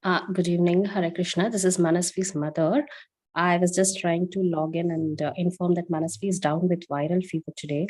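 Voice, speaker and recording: A woman's voice: on the low side, steady tone, fairly quick, a little mellow. A mother who speaks politely and formally on a video call. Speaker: parent. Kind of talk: polite explanation.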